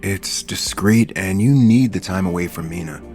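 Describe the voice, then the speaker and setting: Soap opera guy, deep voice, gentle and soothing, really earnest about healing stuff. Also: moderate pace, faint music behind. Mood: tender, earnest. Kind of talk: audio soap opera acting.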